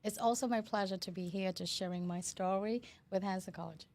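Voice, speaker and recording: A woman's voice: mid-range voice, fairly even intonation, a little fast, a little mellow. A woman in her thirties with a Vietnamese accent, telling her story earnestly as an interview guest in non-native phrasing. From Vietnam, Hanoi. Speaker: interview guest, educator and CEO.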